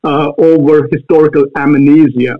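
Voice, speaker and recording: A man with an Indian accent, a guest answering interview questions earnestly, pausing often on fillers. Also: fairly high pitch, steady tone. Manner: earnest, halting with many fillers. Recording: tinny phone-like line.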